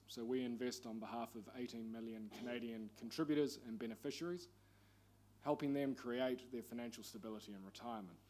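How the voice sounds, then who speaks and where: A middle-aged man with a New Zealand accent addresses a conference panel. He speaks in a measured, formal way at a moderate pitch. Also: a little mellow. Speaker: panel speaker, investment executive. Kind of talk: conference presentation.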